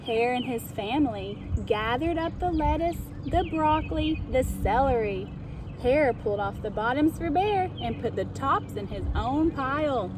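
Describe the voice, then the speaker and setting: A woman narrates a children's storybook with a high voice. She takes her time, reading expressively and explaining like a teacher. Clear ambient noise sits in the recording. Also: lively intonation, unhurried. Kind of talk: storytime read-aloud narration.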